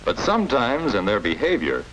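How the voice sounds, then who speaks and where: An actor playing a patient, instructive American male schoolteacher, measured and explanatory, in 1940s educational film style. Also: medium pitch, wildly swooping pitch, a bit bright. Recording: faintly noisy 1940s film soundtrack.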